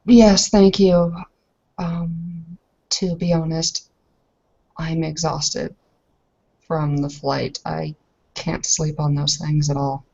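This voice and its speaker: A woman's voice: low-pitched, level tone, takes it slowly, vocal fry, pauses often, darkish tone, lo-fi sound, heavily acted. A woman playing a proud, tired young werewolf bride-to-be in a tabletop game, speaking formally and carefully with hesitant phrasing. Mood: weary, polite.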